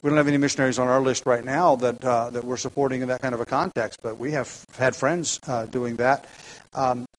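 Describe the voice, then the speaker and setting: A man, a pastor, preaching a sermon in a halting way, with many restarts and light chuckles. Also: medium pitch, steady tone, rough recording.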